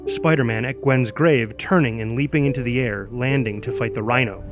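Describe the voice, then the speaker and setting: A man's voice: mid-range voice, moderate pace, over loud music. A man reading scripted stage directions in present tense, with a punchy, clipped, dramatic delivery. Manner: punchy, clipped delivery with exclaimed sound words. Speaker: stage-direction narrator at a table read.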